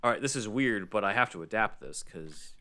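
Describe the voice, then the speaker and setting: A man with a fairly low voice hosts a relaxed podcast discussion. He talks conversationally and often chuckles between phrases. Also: fairly quick, crowd noise. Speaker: podcast host. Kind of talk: casual chat with read-aloud summary.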